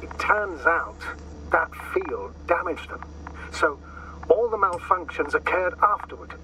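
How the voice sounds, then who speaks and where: An actor playing an analytical male mission commander in a space game, speaking formally and deliberately over a radio. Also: a little high, lively intonation, sharp tone, exaggerated voice. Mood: analytical, intrigued. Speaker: astrogator, superior officer. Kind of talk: dramatic game voice acting. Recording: slightly noisy, distant radio link.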